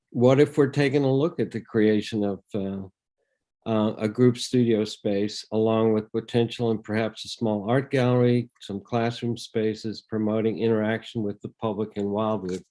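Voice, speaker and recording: Mellow-voiced older man. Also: on the low side, steady tone. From Netherlands. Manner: measured, with frequent ums and uhs. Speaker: resident speaking at public comment. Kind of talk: reading a prepared statement.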